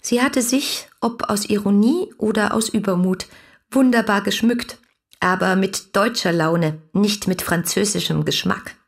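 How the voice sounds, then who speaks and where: Ardent, husky young woman. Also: mid-range voice, unhurried. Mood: wistful, confiding. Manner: impassioned, expressive literary delivery. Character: fiery, headstrong young woman writing adoring letters. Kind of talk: German radio play, dramatic letter reading.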